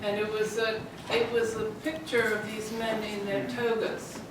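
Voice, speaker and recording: A woman's voice: fairly high pitch, level tone, unhurried. British-accented woman artist, chatty storyteller, distant and echoing, noisy room. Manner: chatty, storytelling, occasional fillers. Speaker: exhibiting artist. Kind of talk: informal artist talk. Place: gallery room, speaking without microphone. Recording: echoey room from far off, noisy.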